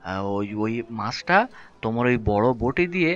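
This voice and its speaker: A man playing a simple, cheerful village boatman in an animated folk tale, with a habit of muddling his words and correcting himself. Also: mid-range voice, normal speed, highs cut off, natural voice. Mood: friendly. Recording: music bed under dialogue.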